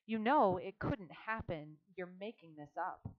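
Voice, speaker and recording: A woman's voice: fairly low pitch, measured pace, highs cut off, lightly acted. A woman voicing a stern, worried farm mother who talks firmly and scolds. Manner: firm, scolding.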